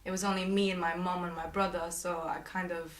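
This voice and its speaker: Young Portuguese-born woman in an echoey room. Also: fairly low pitch. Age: 20s. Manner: relaxed, laughs easily, thoughtful pauses. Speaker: interviewee. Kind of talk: casual interview.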